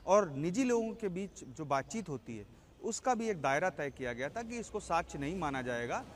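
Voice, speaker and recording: A man, a senior correspondent giving a deliberate, explanatory live report. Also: a little high, sing-song, a little hiss or hum.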